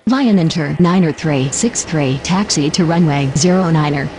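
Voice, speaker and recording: A woman's voice: low voice, normal speed, a little mellow, a little hiss or hum, natural voice. A woman voicing a procedural airport radio operator in a game, using formal, clipped aviation phraseology. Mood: businesslike. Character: procedural female airport controller and pilot radio voice. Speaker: air traffic control radio voice.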